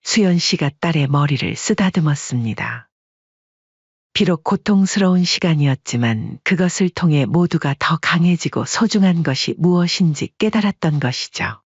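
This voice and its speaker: Husky woman narrating a story. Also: low voice, dry recording, highs cut off. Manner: even, measured storytelling.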